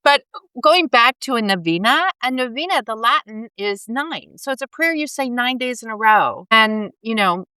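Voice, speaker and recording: A woman's voice: fairly high pitch, lively intonation, normal speed, bright, forceful tone, clean tone, no echo, rough recording. A woman co-hosting a faith podcast, chatty and explaining, a bit stuffy from allergies, often tagging questions on her points. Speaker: podcast co-host. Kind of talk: casual faith chat.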